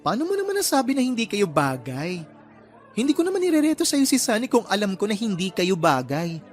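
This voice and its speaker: A man playing a chatty, teasing young friend in a radio drama, expressive and gossipy. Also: high voice, fairly quick. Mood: teasing, coaxing. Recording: music behind the voice.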